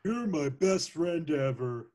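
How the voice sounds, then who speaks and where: A man's voice: fairly high pitch, expressive, takes it slowly, dark tone. A man voicing a simple, friendly cartoon starfish in a table reading, on lo-fi audio. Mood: affectionate. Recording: dull lo-fi audio.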